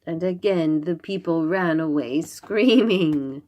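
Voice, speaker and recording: A woman reading a children's story aloud, expressive and dramatic, drawing out her words. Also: sits low, takes it slowly. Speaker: storybook reader.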